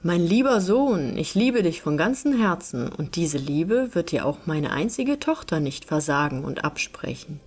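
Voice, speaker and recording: A woman's voice: lowish voice, big pitch swings, a little slow, few pauses, darkish tone, soft background music. A woman voicing a lordly, formal master of an enchanted castle in a storybook reading. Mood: approving. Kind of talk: fairy-tale storybook reading.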